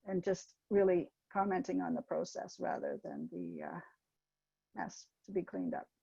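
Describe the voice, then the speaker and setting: Older woman with a gravelly, mellow voice. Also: lowish voice, normal speed, dry recording, highs cut off. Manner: gentle, hesitant, many ums and restarts. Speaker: webinar presenter. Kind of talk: informal teaching talk with anecdotes.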